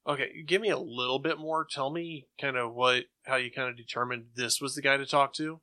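A bright male voice at a medium pitch, recorded close and dry. He talks as a podcast co-host in a casual, conversational style. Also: fairly even intonation, a little fast, no room sound. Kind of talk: casual chat.

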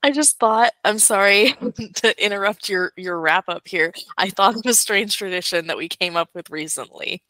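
A woman's voice: medium pitch, moderate pace, hardly any pauses, sharp tone, no room sound. A young woman co-hosting a podcast in casual chat, chatty and giggling at her own stories. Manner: chatty, giggly, self-amused. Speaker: podcast co-host.

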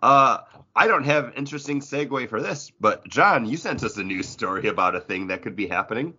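A man hosts a casual podcast with a husky, forceful voice. His pitch moves around a lot as he chats and jokes, and the audio is slightly dull. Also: expressive, brisk. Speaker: podcast host. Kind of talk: casual, joking chat.

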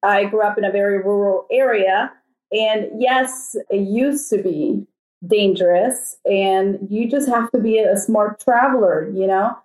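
A woman's voice: medium pitch, a little slow, darkish tone. Middle-aged salvadoran-born woman chatting on a podcast, echoey room. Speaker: podcast guest. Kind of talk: casual conversation.

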